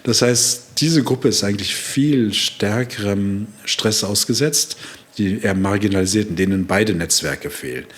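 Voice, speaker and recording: A man's voice: lowish voice, moderate pace. A man in his sixties, born in Turkey, a psychology professor explaining at length in an interview, acting out quoted dialogue with frequent fillers.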